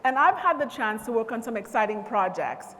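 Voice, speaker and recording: A woman from Trinidad and Tobago giving an encouraging keynote speech to students at a conference, speaking in a measured way. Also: fairly high pitch, big pitch swings, fairly bright tone. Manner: measured, encouraging, lists things slowly. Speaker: conference speaker from a power company. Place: large convention hall. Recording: long reverb of a large hall.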